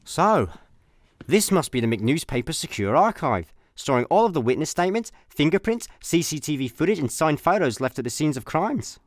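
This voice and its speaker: Man playing a lively, higher-voiced Scottish space slacker. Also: moderate pace, no room sound, clean studio sound. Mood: clueless, puzzled. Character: bumbling, chatty male space layabout. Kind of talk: comedic sci-fi radio play.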